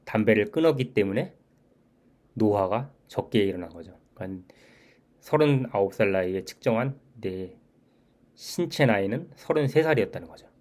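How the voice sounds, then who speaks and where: A man's voice: lowish voice, expressive, moderate pace, darkish tone. A man in his forties talking through his own experience in a reflective monologue, earnest and repeating his key points, often restarting sentences. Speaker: channel host.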